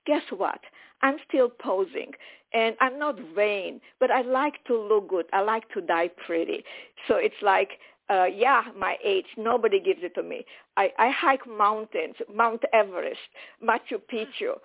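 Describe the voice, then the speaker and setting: A woman in her eighties, born in Romania with German as her first language, telling her life story as a radio guest, lively, candid and quick to joke. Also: medium pitch, vocal fry. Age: 80s. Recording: phone-line radio sound.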